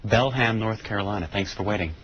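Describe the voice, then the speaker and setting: Fast-talking male call-in host, muffled. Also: fairly low pitch, breathy edge. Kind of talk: live call-in moderating. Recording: muffled, hissy TV broadcast rip.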